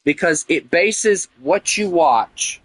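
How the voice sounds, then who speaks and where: A man's voice: on the high side, expressive, slow pace, fairly bright tone, no room sound, low-quality recording. A young man with a Southern US accent, chatting casually with viewers while gaming, rambling and opinionated with lots of fillers. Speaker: gaming streamer. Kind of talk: casual gameplay chat with viewers.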